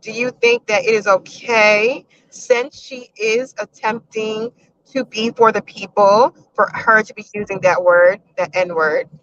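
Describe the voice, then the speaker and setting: A woman co-hosting a casual talk show, asking halting, searching questions. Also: medium pitch, steady tone, fairly bright tone. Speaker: podcast co-host. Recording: dull lo-fi sound.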